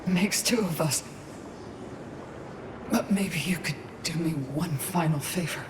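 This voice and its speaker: Wounded woman agent, weary, halting, pleading, unhurried, video game drama, noisy roomy space. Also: deep voice. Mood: despairing, pleading. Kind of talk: cinematic video game acting. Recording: roomy echo, background ambient noise.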